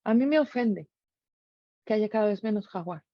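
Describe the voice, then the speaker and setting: A woman's voice: mid-range voice, expressive, moderate pace, no echo, muffled recording. A middle-aged woman with a Mexican accent giving an earnest reflective monologue, full of rhetorical questions. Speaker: speaker sharing reflections.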